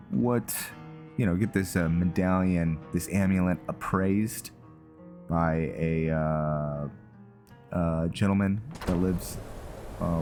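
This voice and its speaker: Male gaming host, low-pitched, unhurried, halting casual commentary over loud music. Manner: halting, thinking aloud. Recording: music behind the voice. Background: game music.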